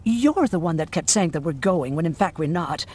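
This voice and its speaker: An actor playing a blunt, sardonic, weary survivor of a machine war in a video game. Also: male voice, a little high, lively intonation, moderate pace, a little mellow. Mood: irritated.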